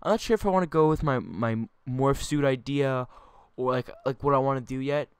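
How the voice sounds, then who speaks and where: A man's voice: medium pitch, fairly quick, smooth, dry recording, studio quality. A young man hosting a casual chat, rambling and joking with lots of fillers. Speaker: host.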